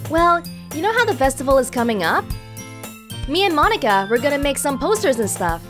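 A woman voicing a cheerful, excitable, childlike teenage schoolgirl from a visual novel. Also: high voice, brisk, pitched above the performer's own voice. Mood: excited. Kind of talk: visual novel character reading. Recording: music behind the voice.

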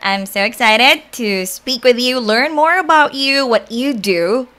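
A woman's voice: a little high, unhurried, pushed and bright. A woman hosting a podcast over a video call, enthusiastic and emphatic as she welcomes her guest. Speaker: podcast host. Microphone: studio mic on a video call.

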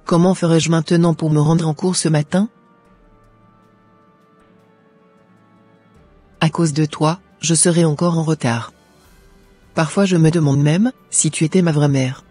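A woman's voice: deep voice, steady tone, moderate pace, warm tone, husky, faint music behind. A woman voicing a resentful, ungrateful young student daughter in a cartoon, complaining with scorn. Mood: angry, scornful.

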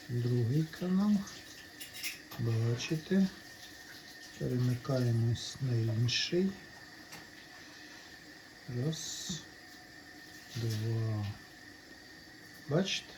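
Man, hobby channel host, mid-pitched, unhurried delivery, casual demonstration, short friendly-address remarks, faint noise behind. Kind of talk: casual hands-on demonstration vlog.

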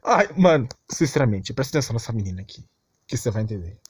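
A man's voice: medium pitch, very animated, fast, breathy edge, no echo, studio quality. A young Brazilian man giving a casual, slangy, unscripted rant in an exasperated, complaining way. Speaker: anime commentary vlogger. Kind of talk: casual unscripted ranting commentary.

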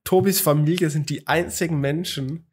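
A man's voice: a little high, steady tone, moderate pace, a little mellow, husky. Young male co-host, hungover, rambling story-teller full of ums and restarts. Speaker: podcast co-host. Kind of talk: casual chat.

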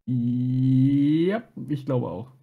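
A man's voice: medium pitch, very slow, narrow, tinny audio. A man co-commentating on a gaming stream in casual chat, offering suggestions as he goes. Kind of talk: casual chat over gameplay.

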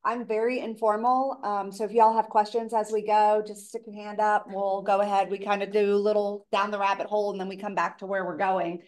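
A woman presenting an informal seminar in a casual, chatty way, her voice raspy from a cold. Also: mid-range voice, flat delivery, a little fast, hardly any pauses, poor audio. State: tail end of a cold. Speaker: presenter, elder care coordinator.

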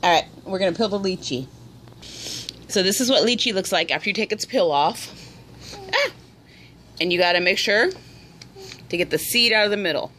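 A woman talks a small child through each step of a home cooking video, patient and encouraging, with a faint hiss behind her. Her voice sits at an ordinary middle pitch and is picked up by a handheld camera in a room at home. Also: animated, moderate pace, pauses often, sharp tone. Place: indoor home room at a table.

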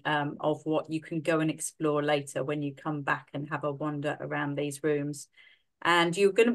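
A middle-aged woman hosting an online exhibition opening, gently guiding her audience with frequent fillers. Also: low voice. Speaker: mentor and event host.

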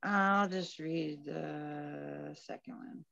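A woman's voice: deep voice, extremely slow, creaky, fairly bright tone, no echo. A woman, a poet at an open mic, speaking over a video call from home. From Alberta, Canada. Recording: dull, lo-fi video call audio.

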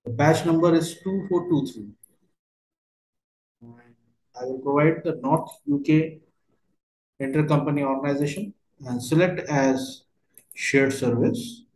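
A man working through a step-by-step software tutorial as a trainer, speaking in halting, fragmented phrases. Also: on the high side. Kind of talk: step-by-step screen tutorial narration. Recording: roomy, echoey voice recording.

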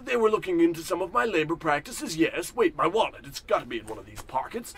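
A man's voice: on the high side, sing-song, fast talker, a bit bright. A man acting a pompous, indignant and forgetful wealthy businessman in a blustering, theatrical old-time radio comedy style.

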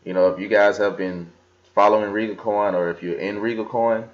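A man's voice: on the low side, steady tone, fairly quick. A young man casually talking through a screen recording, with many fillers and restarts as he scrolls and reads aloud. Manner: casual, filler-heavy, talks while scrolling and reading aloud. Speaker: crypto vlogger. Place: indoors at a desk. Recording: slightly dull lo-fi computer audio.

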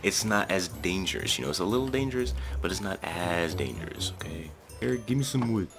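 A young man bantering casually with friends during gameplay, excitable, slangy and quick to swear. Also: deep voice, over music. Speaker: gaming video creator.

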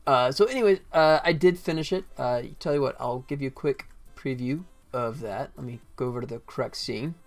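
A man's voice: medium pitch, brisk, few pauses, soft background music. A middle-aged man chatting casually and wryly on a livestream. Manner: easygoing, wry, self-deprecating. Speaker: game developer livestreamer. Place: indoor desk, livestream webcam setup.

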